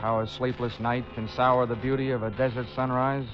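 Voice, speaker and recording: An actor playing a wry, wisecracking private investigator in a 1940s radio drama, with a dry, sardonic American delivery. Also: male voice, mid-range voice, flat delivery, normal speed, over music, close to an everyday voice. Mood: wry narration. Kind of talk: 1940s radio acting and narration. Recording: dull vintage radio recording.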